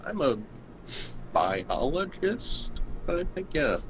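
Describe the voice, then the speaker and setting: A man's voice: fairly high pitch, sing-song, slow, soft background music. A man chatting casually as a player in a tabletop roleplaying game.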